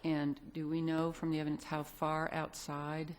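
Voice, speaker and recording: A woman judge with a strikingly deep, low voice presses counsel from the bench. Her questions are probing and pointed. The setting is an appellate courtroom. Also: completely flat delivery, moderate pace.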